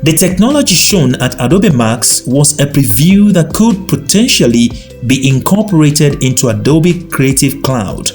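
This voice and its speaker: A man with a slightly raised pitch reads a scripted tech news report. His delivery is even and informative. Faint music plays underneath. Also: a little slow, hardly any pauses. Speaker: narrator.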